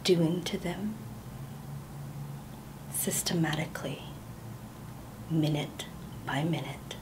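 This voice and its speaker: A woman performing a stage monologue as a haunted woman who confesses her hatred for the man who tormented her, speaking in a hushed near whisper. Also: low voice, low-quality recording.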